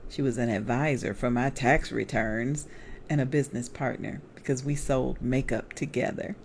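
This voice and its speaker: A middle-aged American woman reading her memoir aloud as author and evangelist, with deliberate, expressive storytelling. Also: a bass voice, a little hiss or hum.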